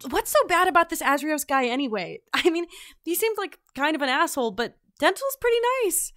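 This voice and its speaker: Casting: actress as sarcastic young quester in fantasy audio drama, high, very animated, informal. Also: female voice, a little fast, no echo, studio quality, heavily acted. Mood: wry, exasperated. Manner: sarcastic, informal modern phrasing. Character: wry, casual young outsider on a quest, eager.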